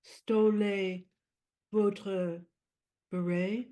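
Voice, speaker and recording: A woman's voice: mid-range voice, flat delivery, drawn-out words, mellow. A woman playing a wistful unsold teddy bear. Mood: coaxing. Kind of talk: improv comedy scene.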